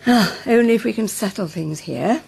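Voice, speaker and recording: An actress playing a gracious older farmhouse mother in a radio soap, husky. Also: medium pitch, normal speed, darkish tone, exaggerated voice. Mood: gentle, concerned. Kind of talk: naturalistic radio soap acting.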